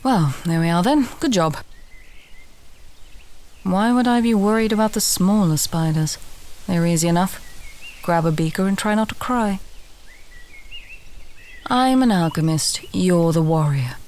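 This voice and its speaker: Over a faint bed of outdoor ambience comes a woman playing a fantasy alchemist with a slightly low voice. She is chatty and instructive, speaking straight to the listener like a guide to wild herbs, with a dry, wry wit. The style is intimate audio roleplay. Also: a little slow, pauses often, a little mellow, a little hiss or hum, close to an everyday voice. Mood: wry, amused. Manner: conversational, instructive, drily humorous. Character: chatty, wry woman alchemist herb gatherer, afraid of giant spiders.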